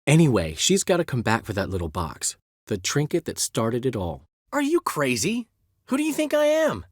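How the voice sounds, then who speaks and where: A man's voice: medium pitch, huge pitch swings, moderate pace, no echo, clean studio sound. An actor playing a flamboyant, talkative male bard in a video game, witty and theatrical. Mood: teasing. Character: flamboyant, chatty middle-aged male bard and poet. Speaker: game character.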